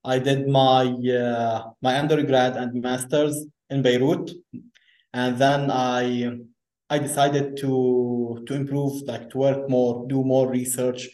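A man's voice: mid-range voice, level tone, slow pace, a little mellow. A man who grew up in Lebanon, talking as an interview guest, often repeating himself and restarting sentences. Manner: repeats words, many restarts. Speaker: guest engineer. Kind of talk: conversational interview. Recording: echoey room.

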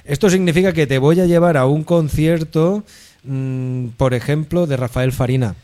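A man's voice: a little high, normal speed, warm tone. A male radio host in casual, joking banter, presenting new music releases. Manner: playful, joking, announces releases.

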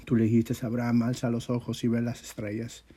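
A man, stuffed up with a sore throat, teaching and praying as a religious leader in an informal, earnest, halting way with frequent repetition. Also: mid-range voice, monotone, mellow, husky. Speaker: religious teacher leading an audio class. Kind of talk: informal sermon and prayer.

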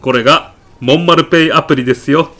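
A man's voice: a little high, quick pace, a bit bright, close to an everyday voice. A man playing a pushy, eccentric, absurdly self-assured supermarket customer in a comedy radio drama, arguing like an insistent salesman. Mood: cajoling, insistent. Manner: insistent, indignant salesman-like patter. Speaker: podcast actor.

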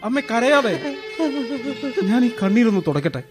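A gentle young man, music behind. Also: sits high, big pitch swings, normal speed. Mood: consoling. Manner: gentle, consoling. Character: young man visiting the old mother, gentle and kind. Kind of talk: radio drama acting.

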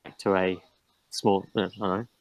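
A man's voice: sits low, flat delivery, moderate pace, dry recording, low-quality recording. A man, a guest in a casual interview, talking with frequent ums and restarts. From New Zealand. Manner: hesitant, restarts with many ums.

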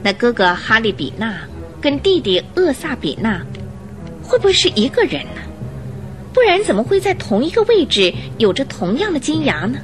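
A woman reading scripted narration for a Mandarin radio drama with expressive storyteller phrasing. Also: on the high side, moderate pace, over music.

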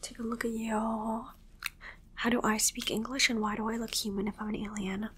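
A woman whispering an ASMR roleplay as a calm, reassuring alien medical examiner, repeating short reassurances in a hushed, soothing way. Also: a little high, normal speed, slightly rough.

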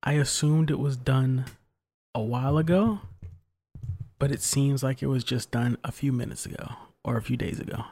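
A young man co-hosting a casual late-night podcast, keeping his voice soft and hushed so he won't wake a sleeping child. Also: mid-range voice, fairly even intonation, normal speed, slightly rough. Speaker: podcast co-host.

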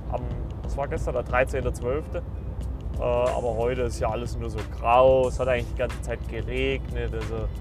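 A man in his thirties from Saxony, hosting a podcast in a casual, meandering way full of filler words and restarts. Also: medium pitch. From Saxony, Germany. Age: 30s. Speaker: podcast host. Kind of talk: casual rambling monologue.

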